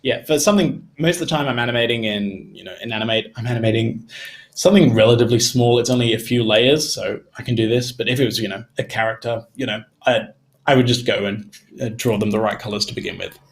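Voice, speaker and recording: A man's voice: medium pitch, fast. A young man from Australia giving a casual, chatty tutorial from a home studio on a desk mic, his voice tiring, with lots of "you know" fillers. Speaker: animation instructor. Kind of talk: casual live-stream tutorial.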